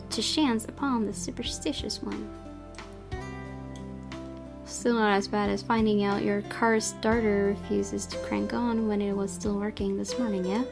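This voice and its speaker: Young female gamer, mid-pitched voice, story narration, casual asides, faint music behind. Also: creaky. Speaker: gaming video host. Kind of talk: reading visual novel narration aloud with casual commentary.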